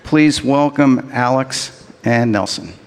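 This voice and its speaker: A man's voice: mid-range voice, takes it slowly. Man introducing speakers in a hall. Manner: steady, formal reading with small fillers. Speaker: conference emcee. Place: large conference hall. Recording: reverberant hall.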